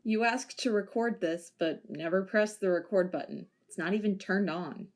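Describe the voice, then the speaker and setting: A woman's voice: mid-range voice, moderate pace, lightly acted. A woman playing a dry, wry retired policewoman. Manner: dry, wry and matter-of-fact. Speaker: narrator and lead character. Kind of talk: amateur audio drama acting.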